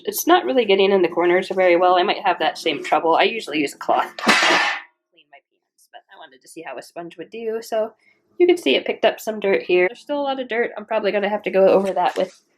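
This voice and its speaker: A woman's voice: medium pitch, lively intonation, a little fast. Woman host with a stuffy cold, chatty and rambling in a home kitchen, faint background hiss. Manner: chatty, rambling, frequent restarts. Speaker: cleaning video host. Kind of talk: casual product test vlog.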